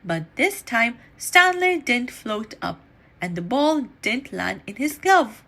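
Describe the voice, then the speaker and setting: A woman reading a children's story aloud in an animated, deliberate storytelling manner. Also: on the high side. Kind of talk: expressive read-aloud storytime narration.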